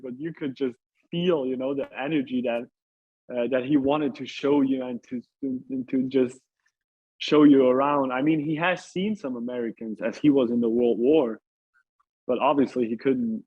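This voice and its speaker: A man's voice: mid-range voice, steady tone, moderate pace, husky. Italian man on a thin, phone-like line. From Italy. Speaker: interview guest. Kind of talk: casual chat.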